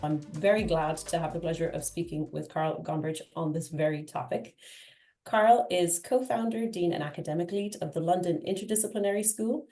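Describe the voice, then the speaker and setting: A woman hosting a podcast interview, asking questions with warm enthusiasm. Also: lowish voice, moderate pace, roomy sound, faint music behind. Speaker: podcast host.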